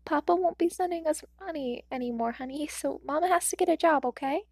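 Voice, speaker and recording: A woman voicing a tender, grieving mother who speaks softly through tears. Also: sits high, normal speed, creaky, no room sound, clean studio sound, voice pushed up. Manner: soft, gentle, tearful.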